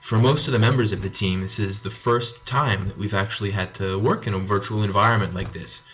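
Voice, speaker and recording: A man's voice: fairly low pitch, fairly even intonation, brisk, lo-fi sound. A young Canadian man giving an informal student presentation. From Canada (Montreal). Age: young adult. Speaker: MBA student presenting a team report.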